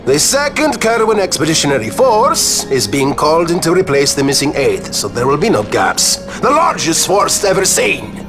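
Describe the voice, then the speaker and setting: An eloquent, formal nobleman in a fantasy game drama. His delivery is theatrical and articulate, with a widely swinging pitch. Music plays prominently behind him. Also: big pitch swings, normal speed, hardly any pauses. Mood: urgent, emphatic. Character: eloquent adult nobleman giving a grave war briefing. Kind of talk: dramatic video game voice acting.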